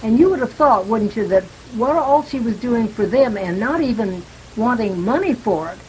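A woman's voice: on the high side, lively intonation, normal speed, a little mellow, low-quality recording. A woman giving her opinions in a casual group book discussion, often turning them into tag questions. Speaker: book club participant. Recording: faint hissing, sizzling line noise.

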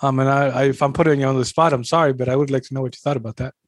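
A man's voice: medium pitch, fairly even intonation, rapid-fire, no room sound, clean studio sound. A man casually moderating an online group chat and speaking informally to its participants. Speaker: meeting moderator.

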